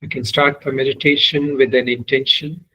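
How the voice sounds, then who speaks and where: Male voice, meditation guide, mid pitch, little melodic movement, unhurried, pausing often. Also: flat delivery, bright, forceful tone, breathy edge, highs cut off. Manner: measured, unhurried instruction with long pauses. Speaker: meditation teacher.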